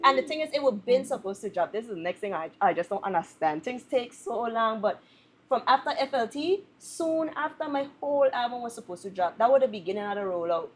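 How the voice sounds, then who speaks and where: A woman's voice: fairly high pitch, expressive, fairly quick, slight background noise. Virgin Islands woman talking candidly. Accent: Virgin Islands Caribbean English. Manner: candid, laid-back, slangy. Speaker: guest musician. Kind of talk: casual podcast interview.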